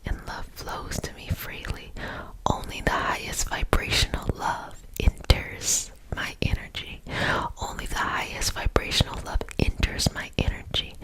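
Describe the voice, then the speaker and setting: A young woman whispering softly and affectionately as a Reiki teacher guiding a relaxing healing session. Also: poor audio. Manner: soft whisper throughout, affectionate and soothing.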